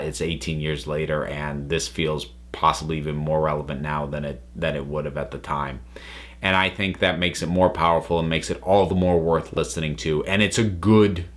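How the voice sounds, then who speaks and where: A man with a fairly low voice gives a casual spoken review as the host of a channel. He phrases things thoughtfully and haltingly, often repeating a few words as he works toward his point. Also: fairly bright tone.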